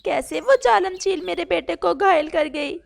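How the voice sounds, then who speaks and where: A woman as a cartoon mother crow, high-pitched, fierce, emotional, theatrical delivery. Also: unhurried, creaky, exaggerated voice. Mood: distraught. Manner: dramatic, pleading.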